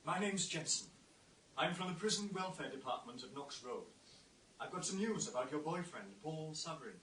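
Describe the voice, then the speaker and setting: A man's voice: fairly high pitch, expressive, moderate pace, fairly bright tone. A man playing a slippery, defiant murder suspect in a radio thriller. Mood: falsely polite. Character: menacing male murder suspect, smooth-talking then defiant. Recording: echoey, distant from mic, faint noise.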